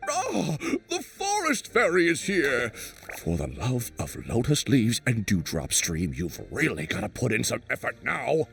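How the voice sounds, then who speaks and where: Game frog bandleader, male, bossy and excitable, rough gravelly voice. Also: mid-range voice, a little slow, recorded from a distance, soft background music, heavily acted. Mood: eager. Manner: animated, exclaiming.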